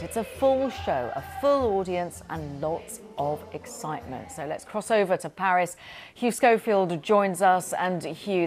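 A female news presenter introducing stories and handing over to a correspondent, clear and scripted. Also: on the low side.

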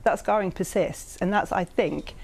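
A woman's voice: medium pitch, moderate pace, creaky, husky. A woman from North East England, a political commentator on a debate panel, building long, earnest, persuasive arguments.

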